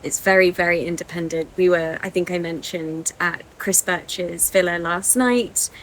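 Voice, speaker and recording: A woman with an English accent, narrating a travel vlog in a calm, reflective way, recorded outdoors by the sea. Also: on the low side, moderate pace, slight background noise, low-quality recording. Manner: soft-spoken, calm and reflective. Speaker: vlogger.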